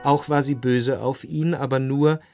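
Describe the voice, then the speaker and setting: An actor playing a reflective first-person narrator in scripted literary radio narration. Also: male voice, medium pitch, steady tone, measured pace, a little mellow, soft background music, close to an everyday voice.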